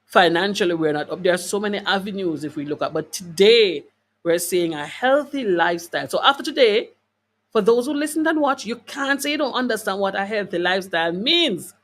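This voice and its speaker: Lady host chatting live to online viewers, kinda preachy and emphatic, repeats herself, medium pitch. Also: animated, fairly quick, fairly bright tone. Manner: conversational, addresses listeners with rhetorical questions. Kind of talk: live talk to online viewers, reading out comments.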